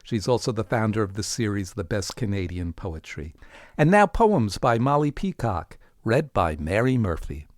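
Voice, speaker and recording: Low-voiced man introducing a radio segment. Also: sing-song, moderate pace, darkish tone, dry recording, studio quality.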